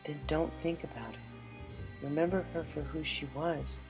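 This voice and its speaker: A man voicing a creaky, gently stern pony mare. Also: a little high, steady tone, normal speed, music in the background, voice pushed up, close to an everyday voice. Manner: gentle but stern, reassuring. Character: tough but gentle young female pony, protective, firm. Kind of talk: dramatic fan-fiction story reading.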